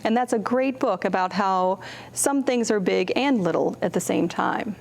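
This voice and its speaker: A woman's voice: mid-range voice, moderate pace, creaky. A woman hosting a children's storytime, reading picture books aloud expressively and putting simple questions to her young listeners. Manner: expressive, acts out contrasts with her voice. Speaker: children's storytime host, librarian.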